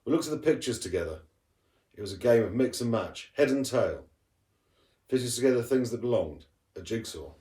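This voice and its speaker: A man's voice: medium pitch, lively intonation, brisk, pauses often, mellow. A man reading a storybook aloud as a teacher would to pupils, expressively and with the odd stumble. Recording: roomy echo, distant from the mic.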